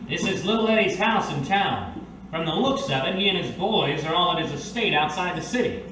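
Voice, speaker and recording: A man's voice: fairly high pitch, animated, fairly quick, pushed and bright. A man playing a streetwise, take-charge private eye in a detective audio drama, speaking in clipped phrases. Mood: alert, searching. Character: decisive male private eye, reformed thief, streetwise. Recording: echoey, distant, noisy recording.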